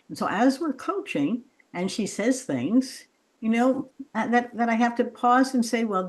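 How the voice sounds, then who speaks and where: A woman's voice: medium pitch, darkish tone. A woman podcast host and coach who explains her ideas thoughtfully and draws out her guest. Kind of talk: interview conversation.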